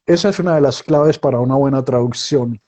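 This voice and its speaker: A man's voice: medium pitch, a little fast, mellow. A middle-aged man from Colombia, a guest writer, chatting casually and telling stories with many restarts. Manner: storytelling, many restarts and acted quotes. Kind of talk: casual interview conversation.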